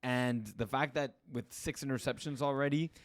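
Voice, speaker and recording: A man co-hosting a sports podcast, talking haltingly with many fillers and quick agreements. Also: mid-range voice, fairly even intonation, dry recording. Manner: hesitant, lots of fillers and agreeing interjections. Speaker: podcast co-host. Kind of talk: casual sports chat.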